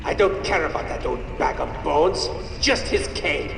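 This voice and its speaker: Pompous, swooping French villain. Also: male voice, on the high side, lively intonation, creaky, echoey room, in a large hall, music in the background. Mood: gloating. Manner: pompous, mocking, drawn-out delivery. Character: smug, theatrical French skunk villain, pompous art thief. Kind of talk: animated video game cutscene acting.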